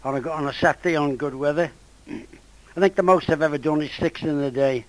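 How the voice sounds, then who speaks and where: A man's voice: a little high, low-quality recording. An elderly man, his voice going from a cold, speaking hesitantly in a casual amateur radio contact. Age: 80s or older. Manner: hesitant, halting. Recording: ham radio contact over internet app.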